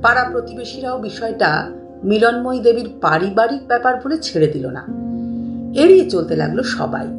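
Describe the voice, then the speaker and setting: Animated woman storyteller in a roomy recording. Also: mid-range voice. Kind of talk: dramatic scripted story narration. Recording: roomy, slightly distant, music bed.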